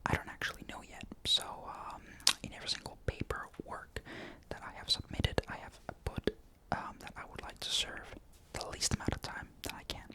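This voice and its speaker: A young man from Finland, chatting in a soft ASMR whisper into a desktop mic, rambling with lots of fillers. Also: normal speed, low-quality recording. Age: young adult. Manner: soft unvoiced whisper throughout; rambling, many fillers and restarts. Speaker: ASMR creator. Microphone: desktop condenser mic.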